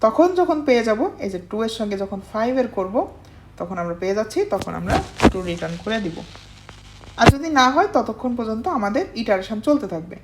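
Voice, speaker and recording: A woman's voice: medium pitch, expressive, brisk, low-quality recording. A woman teaching programming step by step, often checking in with questions and mixing in English technical terms. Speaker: programming instructor. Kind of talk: informal step-by-step tutorial explanation.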